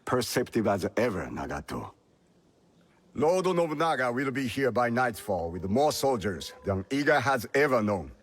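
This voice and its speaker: A veteran samurai retainer speaks with husky authority at a medium pitch. He is stern and courtly, choosing formal words in a dramatic video game style. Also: very animated, normal speed. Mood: grave, courteous.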